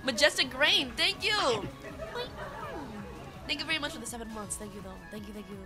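A woman's voice: a little high, animated, fairly quick, over loud music, over a noisy crowd. A congested, nasal-sounding woman chatting casually and playfully with viewers as a livestreamer, giggly and affectionate. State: congested from illness. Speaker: streamer.

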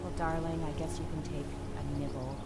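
A woman's voice: sits low, level tone, a little fast, warm tone, faint music behind, close to an everyday voice. A synthetic woman's voice playing a flirty, wry adventurer companion in a fantasy video game. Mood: wry, teasing. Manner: teasing, wry.

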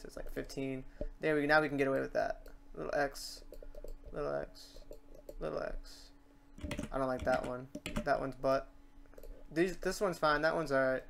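A man hosting an off-the-cuff software tutorial, informal and rambling, muttering to himself as he works. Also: fairly high pitch, vocal fry, a little hiss or hum. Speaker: tutorial host.

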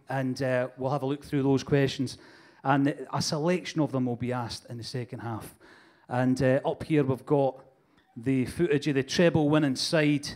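A man hosting a live stage interview, joking with the crowd into a handheld mic. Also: a little high. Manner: jokey, upbeat crowd-working. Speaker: host. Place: stage before a live audience.

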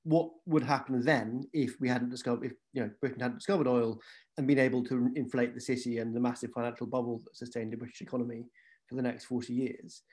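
A man's voice: medium pitch, little pitch movement, fast talker, darkish tone. Scottish male panelist, discursive, conversational, on a video call. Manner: discursive, many "you know" asides. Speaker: panel guest.

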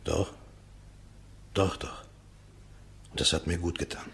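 An actor playing a resigned condemned prisoner in a German radio drama, speaking in a halting, subdued, very husky voice. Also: male voice, deep voice, fairly even intonation, fairly bright tone, exaggerated voice. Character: resigned condemned prisoner protesting his innocence, grateful.

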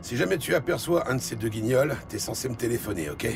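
An actor playing a brash, foul-mouthed bullying police detective in a French audio drama, sardonic and intimidating, with a gravelly voice. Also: male voice, medium pitch, expressive, fast, background noise. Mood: pressing. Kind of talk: French audio drama acting and noir narration. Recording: loud music bed behind the voice.

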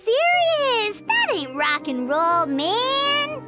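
A woman voicing a tiny, excitable female fairy companion in a video game, with a high squeaky voice and a bossy, boastful way of talking. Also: drawn-out words, full character voice. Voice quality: high squeaky voice, talks about herself in third person. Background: game music.

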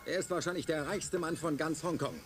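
An actor playing a resolute leader of a heroic team in a dubbed action cartoon, decisive and commanding. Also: male voice, on the high side, brisk, faint music behind, natural voice.

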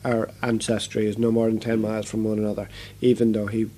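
A man's voice: on the low side, completely flat delivery, fast talker, mellow, a little hiss or hum. A man, a guest musician, chatting casually in an interview, rambling with many fillers and restarts. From Ireland.

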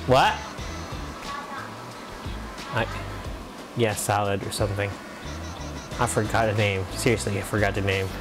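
A man's voice: fairly low pitch, fairly even intonation, measured pace, pauses often. Filipino guy vlogging his cooking, jokey asides, music going behind, drifts off mic a bit. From Philippines. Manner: chatty, joking asides to the camera. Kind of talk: casual chat while cooking. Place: home kitchen.

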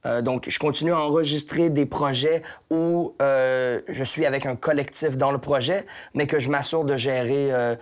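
A man's voice: medium pitch, fairly even intonation, normal speed, few pauses. A man in his thirties with a Québécois accent, answering interview questions thoughtfully with frequent hesitations and restarts, on a lapel mic in a small lounge studio. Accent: Québécois French. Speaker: hip-hop artist guest.

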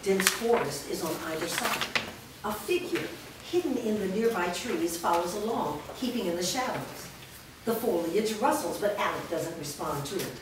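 A woman's voice: mid-range voice, lively intonation, normal speed. A woman reading scripted stage directions aloud as the narrator of a staged reading. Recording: echoey room, far mic, clear noise.

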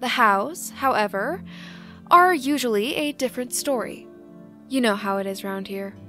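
A woman's voice: on the high side, lively intonation, moderate pace, bright, forceful tone, soft background music. A woman playing a folksy, cryptic small-town radio host, reading a scripted late-night promo with a teasingly ominous manner. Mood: folksy welcome. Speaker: host of a fictional community radio show.

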